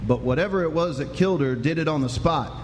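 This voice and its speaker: Folksy, skeptical Old West lawman played by a male actor, slightly high voice, rustic talk, reverberant live stage. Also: fast talker, darkish tone, slight background noise, close to an everyday voice. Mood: skeptical, probing. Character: folksy, skeptical frontier Texan sheriff. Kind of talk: live Western radio drama acting. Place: live stage performance indoors.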